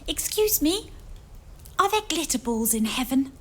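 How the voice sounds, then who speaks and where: A woman playing a chirpy, excitable character in an audio drama. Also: sits high, unhurried, heavily acted. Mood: curious.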